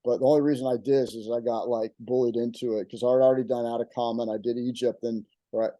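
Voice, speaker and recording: Rambling older man, dark dry voice. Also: mid-range voice, fairly even intonation, fast talker, dry recording. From Texas. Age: 60s. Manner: rambling, stop-start storytelling with frequent restarts. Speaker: guest ultrarunner. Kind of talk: casual chat.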